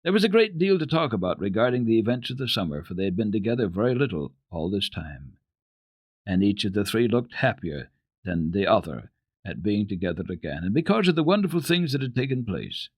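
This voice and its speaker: Low-voiced man reading a children's story, very expressive. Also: husky, no room sound. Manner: expressive, deliberate storytelling delivery. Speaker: radio storyteller and host. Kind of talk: dramatic reading of classic children's literature.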